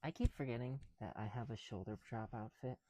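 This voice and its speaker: Soft, mellow voice muttering casually, very dry sound. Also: mid-range voice, brisk, no room sound. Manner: informal, muttering to self, sweary. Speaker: ASMR video creator. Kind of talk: casual rambling ASMR while building with bricks.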